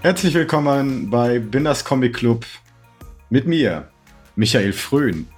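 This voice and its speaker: A man co-hosting a comic podcast, slightly hoarse from a cold, talking casually and searching for words. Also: medium pitch, animated, takes it slowly, a little mellow, soft background music. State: slight cold, scratchy throat. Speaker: podcast co-host. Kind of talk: casual chat.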